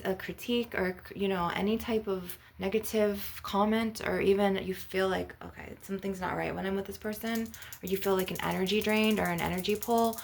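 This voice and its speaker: Creaky-voiced woman leading a spiritual ASMR session. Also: medium pitch, a little hiss or hum. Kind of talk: guided visualization and informal spiritual monologue.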